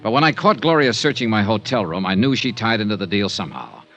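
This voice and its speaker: A hardboiled American insurance investigator in a golden age radio drama. His voice is low, and he speaks in a clipped, wry, tough manner. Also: animated, quick pace, a bit bright, lo-fi sound, soft background music. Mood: dry narration. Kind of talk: 1950s radio detective acting, clipped hardboiled delivery.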